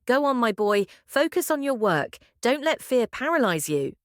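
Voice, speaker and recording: Woman voicing a smooth, scheming male boss. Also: very high voice, big pitch swings, moderate pace, no echo, clean studio sound. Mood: falsely genial. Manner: patronising, commanding. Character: powerful scheming older male boss, coldly manipulative, smooth.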